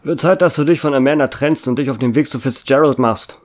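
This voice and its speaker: A man's voice: medium pitch, fairly quick, close to an everyday voice. A man playing a weary, sardonic small-town lawman with a dry, sarcastic manner in an audio drama. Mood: businesslike.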